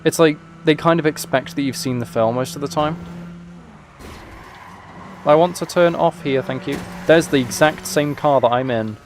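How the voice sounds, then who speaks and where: A man's voice: on the high side, moderate pace, a little hiss or hum. A man chatting casually as he streams a video game, with dry, wry asides. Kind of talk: casual livestream gaming chat and commentary.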